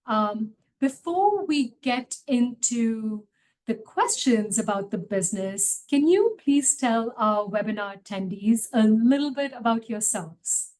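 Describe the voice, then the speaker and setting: A woman's voice: fairly high pitch, expressive, takes it slowly, a little mellow, low-quality recording. A woman hosting a webinar interview, giving scripted introductions and putting questions to her guests. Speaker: host, city small business official.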